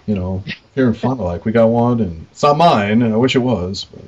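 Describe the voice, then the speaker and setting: A man's voice: fairly low pitch, lively intonation, brisk, a little mellow, muffled recording, slight background noise. An American man co-hosting a podcast in casual chat, rambling along in a jokey, self-deprecating way. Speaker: podcast co-host.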